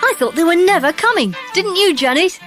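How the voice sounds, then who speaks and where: A woman voicing an eager, bossy schoolboy in a children's audiobook, with a brisk, boyish, emphatic delivery. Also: extremely high pitch, lively intonation, fairly bright tone, faint music behind. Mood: eager, bright. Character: eager, bossy schoolboy Peter and his boy pals. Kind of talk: children's audiobook character acting.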